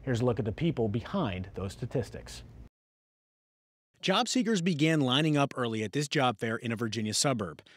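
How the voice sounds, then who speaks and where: Male news anchor, American accent, mid-range pitch, delivering scripted bulletins. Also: very animated, moderate pace.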